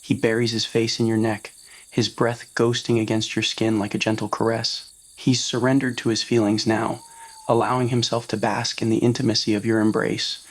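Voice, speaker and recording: Casting: narrator with a medium-low, unclear-gendered voice for scripted romantic roleplay fiction. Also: flat delivery, moderate pace. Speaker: narrator of fan roleplay audio stories.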